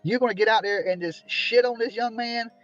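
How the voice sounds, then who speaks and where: A man's voice: high voice, a little fast, fairly bright tone, muffled recording, faint music behind. A man ranting indignantly on a livestream from a small room, repeatedly asking "right?" as he complains. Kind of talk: heated livestream commentary.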